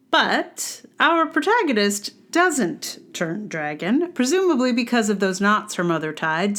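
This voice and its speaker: Female podcast host, medium pitch, reading a personal review blog; dry sarcasm and pointed emphasis. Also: fairly bright tone. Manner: wry, sardonic delivery with exaggerated emphasis.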